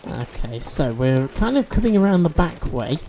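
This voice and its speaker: A man's voice: a little high, very animated, normal speed, mellow, a little hiss or hum. A man hosting a let's-play video, chatting and explaining game mechanics as he plays, a bit sniffly from allergies. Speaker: gaming video host.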